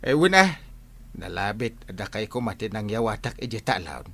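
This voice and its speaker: A man playing an animated, exclaiming village character in an Ilocano comedy radio drama, with an Ilocano accent. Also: on the low side, normal speed, creaky, heavily acted. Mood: chatty.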